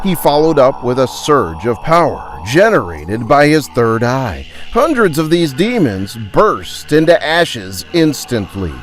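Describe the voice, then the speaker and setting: A man's voice: mid-range voice, huge pitch swings, unhurried, few pauses. A man narrating a scripted fantasy adventure as a theatrical, suspenseful storyteller. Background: background music.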